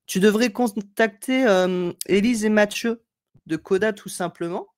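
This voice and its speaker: A woman's voice: medium pitch, a little slow, a little mellow. A woman hosting a casual live tutorial from home, reading chat comments in a chatty, digressive way, with a slight head cold. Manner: chatty, digressive, friendly, thinking aloud with fillers. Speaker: live stream host. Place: home room at a desk, indoors.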